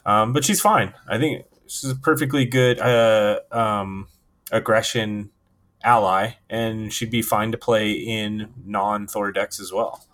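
A relaxed man with a lowish voice co-hosts a casual card-game podcast. He walks through plays step by step and fills his pauses with "uh" and "um". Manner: relaxed, explanatory, many fillers. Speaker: podcast co-host.

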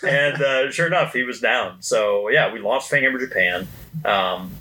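Bright-voiced man chatting casually. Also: mid-range voice, moderate pace, low-quality recording. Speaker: podcast guest, company co-worker. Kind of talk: casual podcast chat recounting company history.

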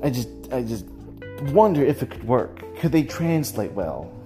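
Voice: mid-range voice, very animated, moderate pace, warm tone, faint music behind. A person giving casual, rambling solo commentary as a host, with a hesitant way of talking that circles back over phrases.

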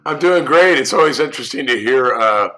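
A male musician from California, hoarse from laryngitis, telling stories in a rambling, relaxed way with many fillers. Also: mid-range voice, brisk, rough recording. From California, USA. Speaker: musician guest. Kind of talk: storytelling interview answers.

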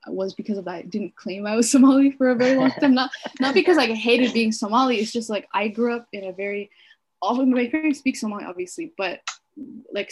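A woman's voice: fairly high pitch, darkish tone, husky. A woman in her twenties from Kenya, co-hosting a podcast in casual chat, talking freely with many fillers and frequent laughs. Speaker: podcast co-host.

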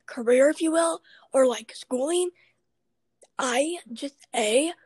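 A young woman hosting a podcast in a casual chat, with a raspy voice and a chatty, rambling way of talking. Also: sits high, slow, darkish tone, no room sound. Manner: chatty, rambling, many fillers. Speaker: podcast host.

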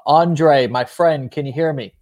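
A man's voice: on the high side, unhurried. Upbeat male podcast host. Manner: upbeat, energetic, stumbles and repeats words. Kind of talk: casual interview show.

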